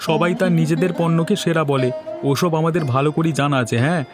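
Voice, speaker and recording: A brusque haggling shopper acted by a man in a cartoon. He talks fast in a high voice, dismissive, over clearly audible music. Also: close to an everyday voice. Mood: skeptical. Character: bargain-hunting, dismissive market customer. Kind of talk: cartoon voice acting.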